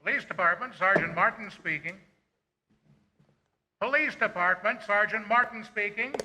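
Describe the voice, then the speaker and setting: An actor playing a brisk, official police desk sergeant answering the phone in a radio drama. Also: male voice, sits high, sharp tone. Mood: routine official. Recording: noisy, reverberant theatre stage.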